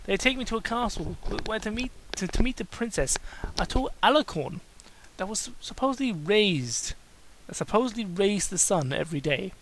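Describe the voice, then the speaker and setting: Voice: mid-range voice, animated, moderate pace, a little hiss or hum, low-quality recording. Someone giving a comedic dramatic reading of amateur fiction, mocking and exasperated, stumbling over the text and breaking off for sarcastic asides. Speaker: host reading fan fiction aloud.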